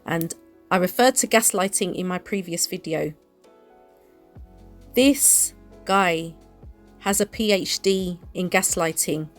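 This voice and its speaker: A woman hosting an opinion commentary, speaking in an emphatic, indignant and deliberate way. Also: lowish voice, faint music behind. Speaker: commentary channel host. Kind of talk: opinion commentary to camera or voice-over.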